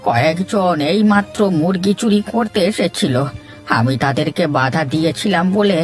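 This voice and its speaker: A man's voice: high voice, moderate pace, over loud music, natural voice. A man voicing an aggrieved old villager in a cartoon, with a plaintive manner. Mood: weary, plaintive. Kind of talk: Bengali moral-story cartoon dubbing.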